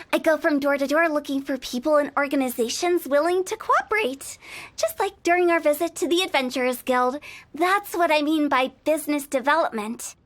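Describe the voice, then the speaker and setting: An actress voicing a playful, mischievous young woman who runs a funeral parlor and makes bubbly, persuasive sales pitches. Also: a bit bright. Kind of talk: video game voice acting.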